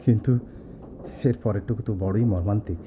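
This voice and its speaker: A man's voice: on the low side, steady tone, brisk, lightly acted. An actor in a radio drama playing an earnest, loyal young student who tells his friend's story with emphatic, dramatic delivery. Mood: reflective, polite. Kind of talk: Bengali radio drama acting with narration. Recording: dull noisy old radio-play recording.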